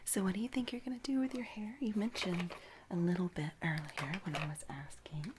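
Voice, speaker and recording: A woman whispering gently and haltingly in a soft-spoken ASMR personal-attention roleplay, playing an attentive makeup artist. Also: on the low side, background noise. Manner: gentle, hushed, unhurried, halting with soft pauses.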